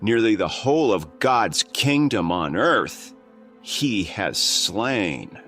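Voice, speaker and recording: An actor playing a menacing, accusing priest in an audio drama, deliberate, preachy and condescending. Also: male voice, on the low side, sing-song, fairly bright tone, soft background music, full character voice. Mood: cold accusation.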